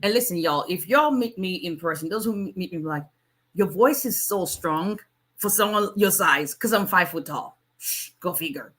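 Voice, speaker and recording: A woman's voice: fairly low pitch, normal speed, a bit bright. A woman in her forties from Nigeria, speaking as an immigration attorney answering viewers in a casual live Q&A, with a strong voice and frequent restarts. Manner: strong voice, chatty, with many restarts. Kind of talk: casual livestream Q&A.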